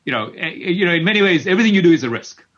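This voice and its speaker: A man's voice: sits high, animated, racing, a bit bright, muffled recording. A middle-aged man from Kenya answering as an interview guest, repeating words as he thinks aloud. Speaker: tech company CEO, interview guest.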